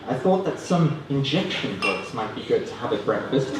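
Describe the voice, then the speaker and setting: A man does deadpan, self-deprecating stand-up with a lively, swinging pitch. He speaks hesitantly, as if reading his own mock prose to a room. The live recording is very echoey and distant, with room noise around him. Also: normal speed. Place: student union comedy stand-up event, indoors. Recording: roomy, noisy live room recording from afar. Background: audience laughter, room noise.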